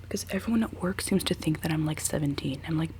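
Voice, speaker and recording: A woman's voice: fast, a little mellow, slight background noise. A woman in her twenties whispering a rambling, giggly ASMR chat while she does her makeup. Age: 20s. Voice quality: decently low voice. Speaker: ASMR creator.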